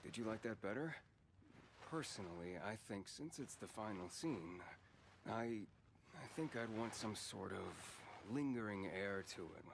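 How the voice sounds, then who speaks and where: An actor playing a big, gentle young wolf man in an anime dub, speaking softly and hesitantly. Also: fairly low pitch, expressive, measured pace, creaky, noisy background. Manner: soft-spoken, hesitant.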